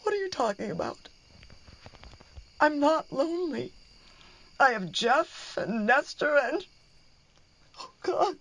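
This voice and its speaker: A woman's voice: high-pitched, lively intonation, slow, pauses often, a bit bright, heavily acted, poor audio. A woman playing a hurt, sarcastic middle-aged wife and mother in a radio play, speaking with open emotion. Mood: upset, tearful.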